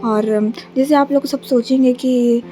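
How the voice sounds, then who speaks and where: A young woman from Jharkhand, India, vlogging casually on a phone camera, her throat a little sore, speaking softly with many hesitations. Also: high-pitched, steady tone, slow pace, soft background music. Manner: soft, subdued, many hesitations. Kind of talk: casual vlog narration. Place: bus stand, then a relative's flat.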